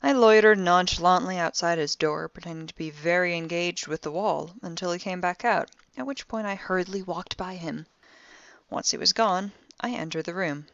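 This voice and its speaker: A woman's voice: lowish voice, normal speed, creaky, fairly bright tone, no echo, highs cut off. A woman playing a wry, impulsive young amateur detective, recording a spoken log in an audio drama with sardonic, theatrically dry conversational delivery. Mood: self-important, dry.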